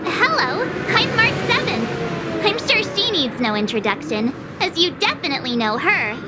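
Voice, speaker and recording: A woman's voice: very high voice, big pitch swings, normal speed, loud music behind the voice. A woman voicing a small chirpy mascot creature in a video game, eager, earnest and emphatic. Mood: cheerful. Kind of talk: video game cutscene acting.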